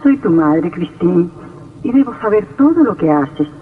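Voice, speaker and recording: A woman's voice: fairly low pitch, very animated, moderate pace, recorded from a distance, slight background noise. An actress playing a worried, pleading widowed mother in an imploring old radio melodrama style. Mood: anxious, scolding. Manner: imploring, dramatic. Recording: muffled old radio drama recording, faint music.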